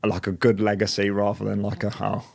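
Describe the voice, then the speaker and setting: A man's voice: lowish voice, a little fast, gravelly. A man in his late twenties, a business owner as guest, talking casually with fillers and restarts. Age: late 20s. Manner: relaxed, rambling with fillers and restarts. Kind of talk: casual interview chat.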